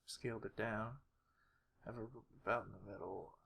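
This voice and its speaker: Someone giving an informal editing walkthrough, talking haltingly and muttering to themselves while working. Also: mid-range voice, fairly even intonation, vocal fry, a bit bright, husky. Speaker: tutorial creator. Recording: noisy home recording, keyboard and clicks. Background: mouse clicks and keyboard typing.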